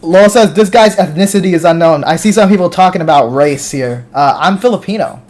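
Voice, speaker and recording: Man, American accent, high voice, livestream host, casual chat with viewers, repeats words as he starts. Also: a little fast, a little mellow. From United States. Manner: talks straight to viewers, reads comments aloud, restarts words.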